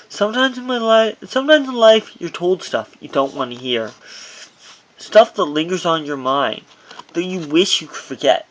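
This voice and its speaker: A man's voice: fairly high pitch, fairly bright tone, poor audio. A man reading his own memoir aloud as narration, in a personal first-person delivery with dramatic emphasis, on an amateur recording.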